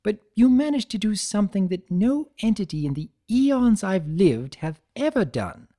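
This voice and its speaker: A man plays a mischievous chimera trickster in a dramatic story reading. The voice rides high and swings widely in pitch, teasing and flippant with a theatrical flourish. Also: sing-song, moderate pace, mellow. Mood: earnest. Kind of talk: dramatic fan fiction reading.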